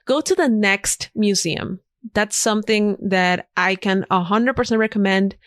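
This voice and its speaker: A woman's voice: medium pitch, a little slow, dry recording, studio quality. A woman whose first language is Spanish, a podcast guest chatting casually and eagerly recommending things with emphatic repeats. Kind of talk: casual interview chat. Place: podcast studio.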